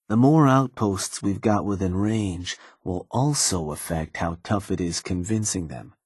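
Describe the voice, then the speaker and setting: An actor playing a shrewd, easygoing American raider lieutenant in a video game, explaining things to his boss in a laid-back, folksy, measured way with a slightly rough voice. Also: sits low, a little mellow, no room sound, clean studio sound, full character voice. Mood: calm, practical. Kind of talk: video game character dialogue.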